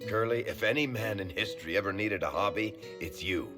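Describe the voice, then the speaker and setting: A man's voice: fairly low pitch, a little fast, music in the background, natural voice. A man playing a wry, world-weary dwarf storyteller who talks in a colloquial, teasing way in a video game. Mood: jovial, teasing. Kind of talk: video game cutscene acting.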